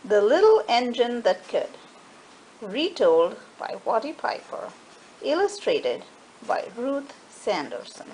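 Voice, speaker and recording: Slow, high-voiced woman reading to children. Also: sing-song, darkish tone, low-quality recording. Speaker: storybook reader for children. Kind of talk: expressive read-aloud narration. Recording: faint background noise.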